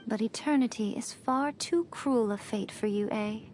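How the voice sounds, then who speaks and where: An actress playing a sly, elegant ancient fox envoy in a video game, with a knowing, teasing, theatrical delivery. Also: female voice, fairly high pitch, normal speed, darkish tone, slight background noise, lightly acted. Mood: pointed, concerned. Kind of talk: dramatic video game voice acting. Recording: music behind the voice.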